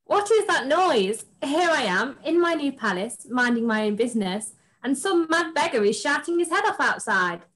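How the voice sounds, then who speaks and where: A young woman playing a haughty, naive pantomime princess in an expressive, slightly stagey way. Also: high-pitched, moderate pace, few pauses, poor audio. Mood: haughty, puzzled. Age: young adult. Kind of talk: script read-through on video call. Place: room at home, video call.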